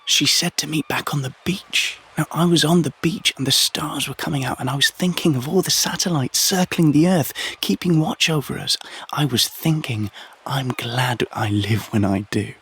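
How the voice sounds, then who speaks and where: An actor playing a wistful, hopeful young man in an audio drama monologue, reflective, with a gravelly, husky voice. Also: on the high side, normal speed, a bit bright, heavily acted. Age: young adult.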